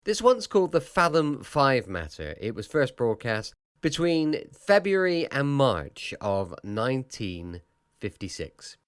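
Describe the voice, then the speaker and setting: A man hosting a late-night podcast with a relaxed, chatty introduction. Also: mid-range voice, measured pace, smooth, dry recording, studio quality. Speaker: podcast host.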